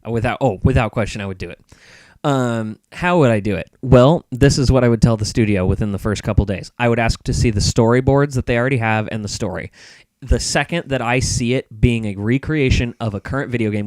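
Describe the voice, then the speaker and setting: A man's voice: medium pitch, fast talker, a little mellow, no room sound. A man speaking as a podcast guest in casual, opinionated discussion, emphatic and repeating points for stress.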